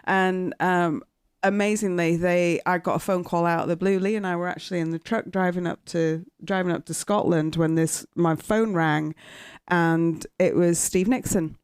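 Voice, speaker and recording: A woman from Britain in her fifties talks in a loud, chatty way, full of ums and laughs. Her voice sits a little low and sounds smooth and clear. The sound is dry and close, with no room around it. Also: steady tone, normal speed, no room sound. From United Kingdom. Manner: chatty, warm storytelling with frequent fillers and laughs. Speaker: guest. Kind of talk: casual podcast interview.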